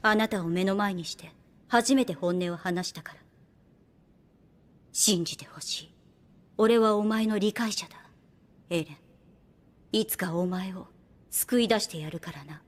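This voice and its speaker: An actress playing a shrewd young woman soldier in an anime drama, composed, unhurried and coolly persuasive with a soft teasing edge. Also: medium pitch, lightly acted. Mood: low, probing. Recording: background music under the voice. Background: music.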